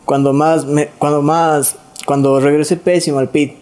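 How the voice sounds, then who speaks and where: A young man, speaking in a hesitant, quiet way about racing. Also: on the high side, little pitch movement, moderate pace. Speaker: guest rider. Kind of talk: casual chat.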